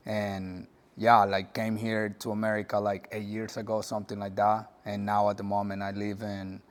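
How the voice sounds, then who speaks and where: A man with a Salvadoran Spanish accent, a guest who speaks softly and with hesitant fillers. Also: lowish voice, monotone, moderate pace. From Puerto Rico and El Salvador. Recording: long hall reverb.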